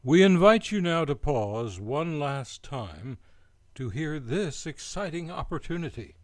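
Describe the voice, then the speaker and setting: Male programme announcer, theatrical, unhurried pace, fairly high voice, scripted radio delivery. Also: sing-song.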